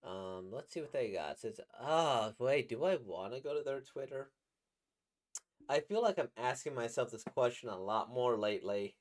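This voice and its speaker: A man with a slightly squeaky, trebly voice, a voice actor casually reacting to comics in a rambling, playful way. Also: lively intonation, normal speed, slight background noise. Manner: rambling, playful, laughs at his own jokes.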